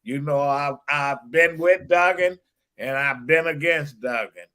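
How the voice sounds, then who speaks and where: Middle-aged detroit-area host, bright sharp voice, brags and trips over his words. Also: fairly high pitch, a little slow. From Detroit area, Michigan. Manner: boastful, stumbling, laughs at own jokes. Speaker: podcast host. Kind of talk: casual podcast chat.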